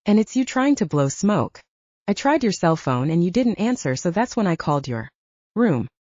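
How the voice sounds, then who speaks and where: A brisk, unflappable woman with dry wit played by a female narrator, teasing and amused. Her mellow voice moves freely in pitch, captured in dry but dull audio. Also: sing-song, no echo. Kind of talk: audiobook dialogue acting.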